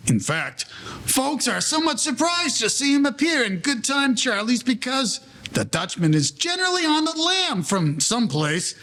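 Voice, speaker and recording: A man's voice: sits high, gravelly, fairly bright tone, exaggerated voice. A man playing the wry storyteller of a 1940s-style gangster audio play, narrating in mannered, formal slang. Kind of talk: scripted period story narration.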